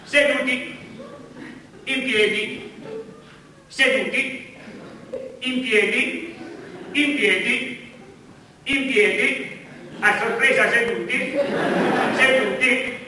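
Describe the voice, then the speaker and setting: A man playing a frantic priest in a stage comedy, chanting absurd rites with insistent repetition. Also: extremely high pitch. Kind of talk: theatrical stage comedy monologue. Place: theatre stage before laughing audience. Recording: echoey theatre stage, distant mic, noisy.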